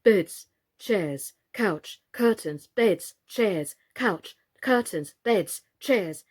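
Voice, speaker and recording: An anxious father doll from a children's storybook, voiced by a woman reader. He is earnest and fretful, with a slow, swooping delivery. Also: medium pitch, animated, a little mellow, breathy edge, full character voice. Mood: earnest wishing.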